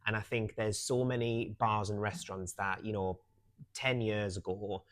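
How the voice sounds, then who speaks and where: A middle-aged man with a northeast English accent speaks in a fairly low voice. He chats as an interview guest, reflective and given to repeating phrases for emphasis. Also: level tone, normal speed, fairly bright tone. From Newcastle, northeast England. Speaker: podcast guest, hospitality professional. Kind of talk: casual interview chat.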